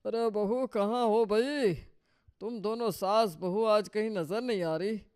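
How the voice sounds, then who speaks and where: A man's voice: sits high, unhurried, darkish tone, clean tone. A man playing a henpecked older father-in-law in a Hindi cartoon story, teasing and placating in a colloquial, folksy way. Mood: puzzled.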